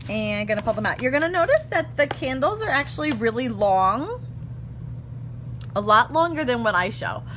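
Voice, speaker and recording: A slightly hoarse woman in her thirties, her voice a touch high, chats her way through a card-making demo, correcting herself as she goes. A steady hum runs under her voice from a home craft room. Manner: chatty, self-correcting, laughs often. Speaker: craft tutorial host. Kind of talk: casual live stamping demo. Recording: clear background hum.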